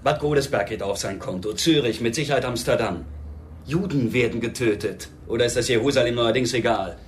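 A man's voice: medium pitch, a little fast, a little hiss or hum, lightly acted. Man as an impatient, blunt secret agent. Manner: pressing, blunt. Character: impatient, hot-headed Israeli agent eager to kill. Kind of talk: German radio drama acting.

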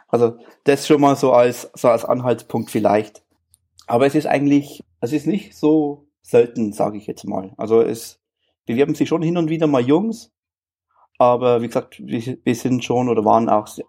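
A man's voice: mid-range voice, mellow. A man in his thirties from Bavaria with a Bavarian accent, a guest in a casual interview, chatting easily with frequent filler sounds. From Bavaria, Germany. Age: 30s.

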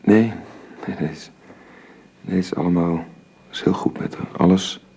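An actor playing a worried, exhausted father with a husky voice in a radio drama. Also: low voice, fairly even intonation, unhurried, mellow. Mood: worried, weary. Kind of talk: Dutch radio drama acting.

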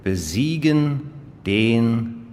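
An actor playing a cool, dutiful state official in a radio drama, deferential, measured and matter-of-fact. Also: male voice, mid-range voice, animated. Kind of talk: German radio drama acting. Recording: long hall reverb with faint noise.

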